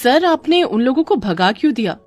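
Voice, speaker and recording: A woman's voice: high-pitched, sing-song, moderate pace, darkish tone, soft background music, voice pushed up. Woman playing a kind, determined schoolteacher. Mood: indignant. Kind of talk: children's moral story voice acting.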